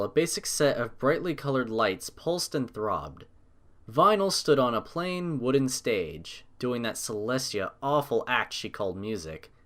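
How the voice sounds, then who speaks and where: A man narrating a scripted story with a dramatic storytelling manner. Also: medium pitch.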